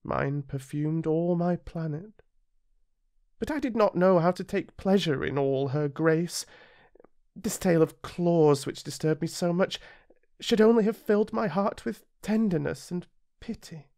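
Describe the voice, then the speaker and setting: A man's voice: fairly high pitch, measured pace, a little mellow, pitched above the performer's own voice. A British man voicing a tender, earnest young boy prince with a wistful manner. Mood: regretful.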